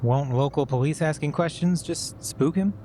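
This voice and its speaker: An actor playing a cautious, anxious amateur hacker in a naturalistic podcast drama, hesitant and defensive. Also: male voice, fairly high pitch, moderate pace, dark tone, a little hiss or hum, natural voice. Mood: guarded. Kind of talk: naturalistic fiction podcast acting.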